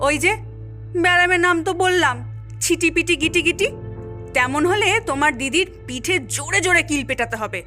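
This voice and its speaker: A woman's voice: extremely high pitch, fairly quick, pushed and bright. A woman voicing a scheming cartoon character who coaxes and instructs in a sly manner. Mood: coaxing, instructing. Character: scheming woman coaxing gullible youngsters into mischief. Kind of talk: cartoon voice acting. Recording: music behind the voice.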